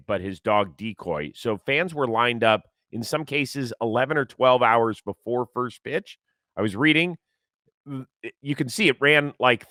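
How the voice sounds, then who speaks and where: Lively, slightly hoarse male sports host. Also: medium pitch, no room sound, studio quality. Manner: lively, animated, reads ad copy with energy. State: a little hoarse from lack of sleep. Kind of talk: casual sports talk show.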